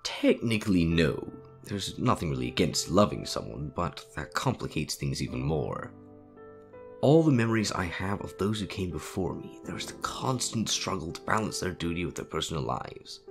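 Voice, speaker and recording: A man voicing a calm, wise older wizard headmaster in a story reading, measured and reflective. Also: sits low, creaky, soft background music, full character voice. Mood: grave. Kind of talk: dramatic fan fiction audio reading.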